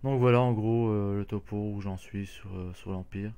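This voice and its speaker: Guy hosting a game channel, kinda low voice, lots of uh and restarts. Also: fairly even intonation, moderate pace, warm tone, clean tone. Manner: hesitant, many fillers and restarts. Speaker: gaming channel host. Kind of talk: casual gameplay walkthrough.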